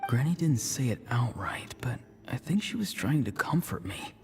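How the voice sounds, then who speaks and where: An actor voicing an earnest, self-doubting young man in a video game, pensive and soft-spoken. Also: medium pitch, big pitch swings, normal speed, noisy background, natural voice. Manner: hesitant, pensive. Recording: voice over clear background music.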